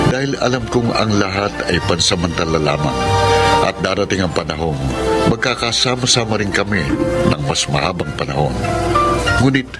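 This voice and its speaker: An actor playing a caring older brother and a hardworking family man in a radio drama, gentle and coaxing. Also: deep voice. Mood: reflective.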